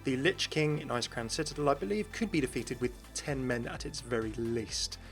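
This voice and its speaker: A man's voice: medium pitch, expressive, fairly quick, soft background music. Male gaming commentator explaining game lore. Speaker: gaming video host.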